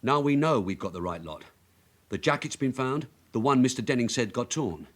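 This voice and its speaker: A man's voice: mid-range voice, lively intonation, normal speed, natural voice. Veteran DS, radio drama: shrewd, colloquial, probing, very varied intonation. Male actor. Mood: brisk, confident. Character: shrewd veteran London police detective sergeant, persistent, coaxing. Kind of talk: naturalistic radio drama acting.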